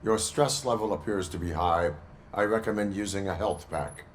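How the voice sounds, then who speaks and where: A very low-voiced cyborg policeman, acted by a man for a video game. He speaks formally and without emotion, stiff and machine-like, with faint noise behind him. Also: moderate pace, close to an everyday voice. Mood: flat, stoic. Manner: stilted, formal, emotionless delivery. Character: cyborg police officer, male, stoic and robotic.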